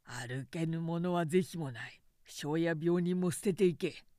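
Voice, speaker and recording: A woman's voice: sits low, measured pace, dark tone, no echo, studio quality, pitched below the performer's own voice. Woman voicing a desperate, imperious fallen warlord. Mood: ruthless, urgent. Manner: imperious, crying out. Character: proud desperate male warlord, fallen self-styled emperor, dying.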